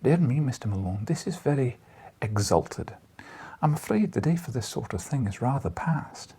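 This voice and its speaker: An old Scottish newspaper editor played by a male reader, crabbed yet coaxing. His voice is very breathy and husky and swings widely in pitch, with a teasing, deliberate manner suited to a classic adventure audiobook. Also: lively intonation, dark tone. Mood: doubtful, discouraging. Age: older.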